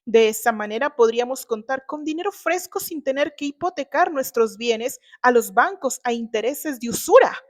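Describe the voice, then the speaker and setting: Woman acting a desperate, high-voiced defensive mother. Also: expressive, normal speed, few pauses. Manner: pleading, self-justifying, hesitant. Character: desperate, defensive middle-aged wife and mother.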